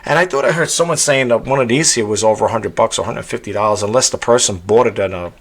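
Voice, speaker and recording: A man with a self-described monotone voice giving a casual, rambling tutorial vlog, full of fillers and restarts. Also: fairly low pitch, fast talker. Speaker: content creator.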